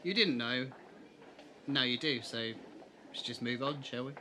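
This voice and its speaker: A man's voice: medium pitch, a little slow, sharp tone, slight background noise, rough recording. An actor playing an easygoing, open-minded young male traveller in an audio drama, frank and casual. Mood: frank, relaxed.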